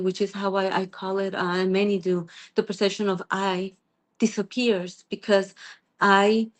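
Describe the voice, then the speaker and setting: Middle-aged woman from Peru, guest sharing a personal story, earnest and halting, mid-pitched. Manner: earnest, halting with frequent restarts and soft laughs. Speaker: guest sharing her spiritual journey. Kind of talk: reflective personal sharing in a group call.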